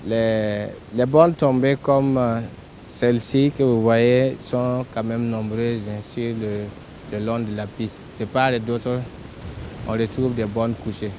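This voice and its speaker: A man with a Burkinabe accent explains something on site outdoors. His voice is mellow and halting, with some rumble and vehicle noise behind it. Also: steady tone. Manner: halting, self-correcting. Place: outdoors along a rural track.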